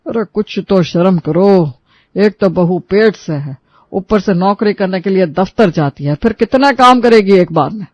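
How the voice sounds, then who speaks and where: A man's voice: high-pitched, normal speed, no echo, muffled recording. A man voicing a nagging, sarcastic elderly husband in a cartoon story, sounding exasperated. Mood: sarcastic scolding.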